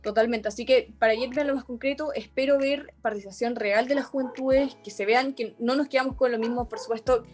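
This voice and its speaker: A woman's voice: on the high side, fairly even intonation, brisk, hardly any pauses, darkish tone, soft background music. A young woman from Chile with a Chilean accent, speaking earnestly as a youth activist guest, often restarting her phrases. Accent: Chilean Spanish. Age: young adult. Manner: earnest, many restarts and self-corrections. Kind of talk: conversational interview.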